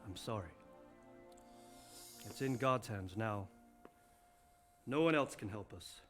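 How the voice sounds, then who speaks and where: A man's voice: lowish voice, expressive, slow pace, fairly bright tone, soft background music. A man acting a courteous, commanding castle lord in a dramatic game cutscene, grave and concerned. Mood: sympathetic.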